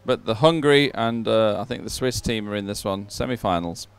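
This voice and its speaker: A man's voice: on the low side, brisk, vocal fry, clear, resonant tone. A man hosting live sports commentary in a chatty, jovial way, as if talking with a colleague. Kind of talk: live sports commentary, relaxed co-host chat.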